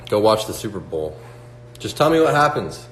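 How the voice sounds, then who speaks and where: Male, 20s, livestream host, slightly low voice, chatty motivational delivery, roomy sound. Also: very animated, moderate pace, fairly bright tone. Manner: motivational, reads out chat questions, many fillers like "like" and "right?". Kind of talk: casual livestream chat with viewers. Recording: echoey room with faint hum.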